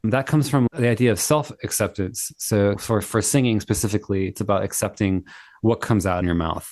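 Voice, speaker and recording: A fairly low-pitched man talks with easy enthusiasm, often affirming what he hears. He is heard over a video call, and the sound is dry with no room echo. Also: brisk, a little mellow. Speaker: guest, singer-songwriter. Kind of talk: casual interview chat.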